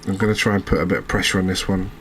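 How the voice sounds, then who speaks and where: A man with a deep voice and a flat, level delivery talks through a game in a cozy ASMR style. He is soft-spoken and unhurried, thinking out loud as he goes. A faint wash of background noise sits behind him. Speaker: game streamer, host. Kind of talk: relaxed ASMR game commentary.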